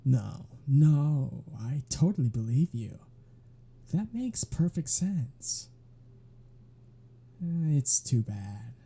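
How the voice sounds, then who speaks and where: Wry, protective male vampire played by a man for intimate ASMR roleplay; unhurried, raspy-soft delivery with dry flirtatious banter. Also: mid-range voice, expressive, full character voice. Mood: amused, teasing.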